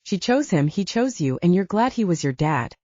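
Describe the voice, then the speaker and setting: Lady doing blunt teasing butcher guy, protective, mellow and dry sound, audiobook. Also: fairly low pitch, very animated, normal speed, dry recording, highs cut off. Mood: blunt, reassuring. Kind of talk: audiobook character dialogue.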